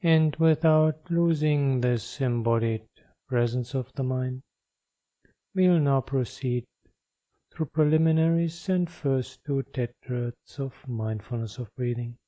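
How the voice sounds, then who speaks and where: A man guides a meditation in a very dark, mellow voice at a middling pitch. He speaks very slowly and leaves long pauses between phrases. His delivery is measured and instructional, as a teacher leading practice. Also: dull audio.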